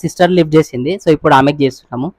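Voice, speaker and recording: A man's voice: on the high side, very fast, a little mellow, dry recording, clean studio sound. A young man chatting casually as a prank caller and video host, mixing English words into his speech. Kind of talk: casual prank phone chat.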